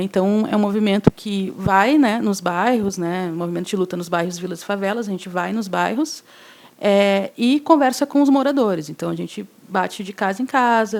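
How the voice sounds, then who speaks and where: A woman's voice: medium pitch, a little mellow. Hesitant woman explaining as a guest. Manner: hesitant, frequent "é" fillers. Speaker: guest, housing movement coordinator. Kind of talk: casual explanatory interview talk.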